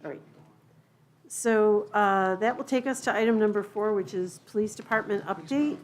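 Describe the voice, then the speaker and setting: A woman's voice: medium pitch, normal speed, a little hiss or hum. A woman leading a village board meeting, moving through the agenda. She is courteous and businesslike, with a mid-range voice. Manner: polite and procedural. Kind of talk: chairing a public meeting. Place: meeting room.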